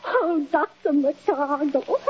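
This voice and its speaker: A giggly, flattered widow. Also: female voice, high voice, slow pace, noisy background. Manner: giggly, breathless exclamations. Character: flustered, giggly, flattered middle-aged widow. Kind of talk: 1930s radio comedy acting. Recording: lo-fi 1930s radio transcription.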